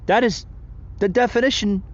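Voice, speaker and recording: A man's voice: high voice, moderate pace, dull audio. A man hosting a podcast, giving impassioned solo political commentary and often calling out to his listeners as folks. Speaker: podcast host.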